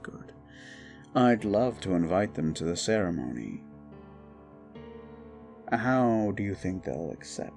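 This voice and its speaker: A man voicing an unhinged, paranoid, possessive young prince in an audio drama, speaking softly and sometimes in a menacing whisper. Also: sits low, creaky, heavily acted. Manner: soft, slow, often whispering, menacing. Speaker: voice actor. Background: background music.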